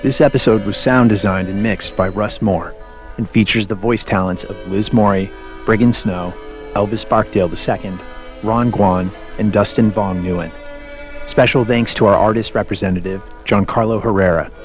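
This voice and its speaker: A man's voice: fairly low pitch, fairly even intonation, normal speed, soft background music. A man reading scripted end credits as the announcer of an audio drama.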